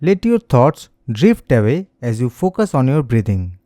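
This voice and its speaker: Male meditation instructor, soothing, mellow tone, dry close sound, slow scripted guidance, melodic intonation. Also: medium pitch, sing-song, no room sound. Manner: gentle, soothing, unhurried instructions.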